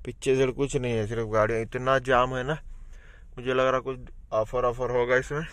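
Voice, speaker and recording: A man's voice: medium pitch, level tone, fairly quick, a bit bright, dry recording. A man from India, a house driver, chatting casually to viewers as he vlogs his day while driving around the city. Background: faint traffic and street noise.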